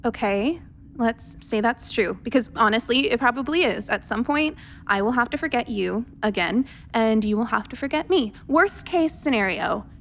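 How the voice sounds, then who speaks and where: Actress as wry flirty space doctor lady, tender, highish voice, radio play, bit of background noise. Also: animated, normal speed, vocal fry, a bit bright. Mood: tender, reassuring. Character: wry, tender woman ship's doctor in love. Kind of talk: naturalistic radio drama dialogue.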